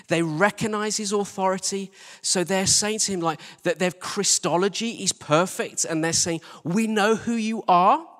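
High-pitched male preacher in a reverberant hall. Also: fairly bright tone. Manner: emphatic, rhetorical questions, repeats phrases for stress. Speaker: church team leader preaching. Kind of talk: conversational sermon. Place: large reverberant indoor church space. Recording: long-reverb church hall sound.

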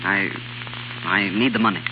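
An actor playing a weary, desperate and proud young sculptor in a 1930s radio drama, speaking haltingly. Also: male voice, lowish voice, natural voice. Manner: hesitant, halting, repeating words. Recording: dull, hissy 1930s radio broadcast.

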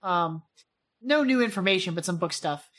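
A woman's voice: lowish voice, lively intonation, normal speed, fairly bright tone. A woman co-hosting a podcast, chatty and enthusiastic in casual talk. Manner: chatty, enthusiastic, slangy, says dude and hype. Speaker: podcast co-host. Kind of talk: casual chat.

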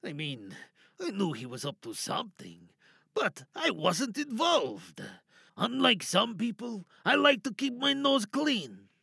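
A man playing a cynical, wary captive scientist in an audio drama monologue, sardonic and probing, bargaining with quiet menace. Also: sits high, wildly swooping pitch, unhurried, vocal fry, husky, dry recording, clean studio sound, full character voice. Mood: wry, defensive. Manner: sardonic, probing, rhetorical questions.

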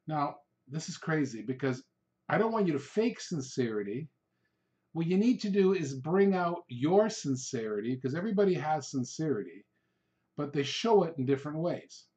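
A man's voice: a little high, sing-song, normal speed, darkish tone. A man, a native Hungarian speaker, hosting an informal solo coaching podcast, talking in an emphatic, rambling way and repeating himself to drive points home. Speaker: podcast host, real estate sales coach. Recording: dull, lo-fi podcast recording.

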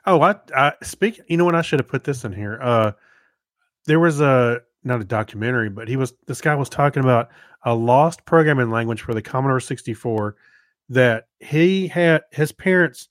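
Man, podcast co-host, medium pitch, halting casual storytelling, very little room sound. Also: expressive, no echo, studio quality. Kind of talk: casual chat.

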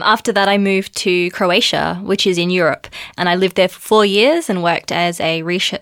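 An Australian woman in her thirties co-hosts a relaxed podcast, chatty and full of little ums. Her voice sits somewhat low for a woman and sounds dry and close, with no room around it. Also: smooth, no echo. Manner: chatty, filler-heavy with frequent ums. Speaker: podcast co-host. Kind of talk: casual podcast chat.

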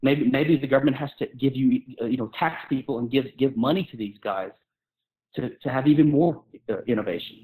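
A man's voice: medium pitch, brisk, dark tone, rough recording. Man explaining and arguing in informal discussion. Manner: argumentative, explanatory, often rephrasing mid-sentence. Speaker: guest author discussing his book.

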